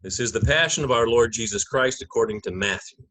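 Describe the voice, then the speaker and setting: A man's voice: mid-range voice, fairly quick, fairly bright tone, slight background noise. A man speaking as a pastor, delivering a church message and scripture narration. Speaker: clergyman and narrator of a gospel reading.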